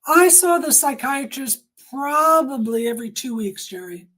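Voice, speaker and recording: An older woman giving personal testimony in conversation as an interview guest, speaking emphatically with deliberate repetition. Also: high-pitched, animated, fairly bright tone.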